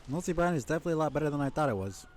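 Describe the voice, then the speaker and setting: A man's voice: a little high, animated, racing, a little mellow, a little hiss or hum. A man giving casual live game commentary as a streamer, thinking aloud in a speculative, casually sweary way.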